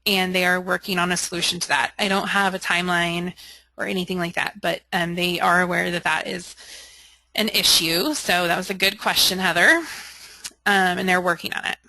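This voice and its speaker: A woman's voice: lowish voice, steady tone, normal speed, pushed and bright, dry recording, poor audio. A woman leading an informal live training webinar, reading out and answering questions candidly while thinking aloud. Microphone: conference call or webinar audio.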